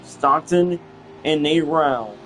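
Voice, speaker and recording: Young man calling a racing game, slow and halting. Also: fairly high pitch, fairly bright tone, low-quality recording. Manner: halting, repeats phrases, restarts. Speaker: race commentator. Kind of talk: play-by-play racing commentary. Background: music and engine noise.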